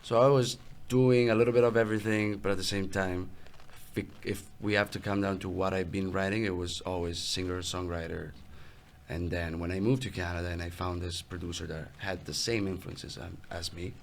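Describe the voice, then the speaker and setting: This is a young man with an Italian accent, answering interview questions about his music. His voice sits low and has a gravelly edge. He talks in a relaxed, halting way, often trailing off and restarting. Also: slight background noise. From Italy. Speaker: guest musician. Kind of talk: casual interview.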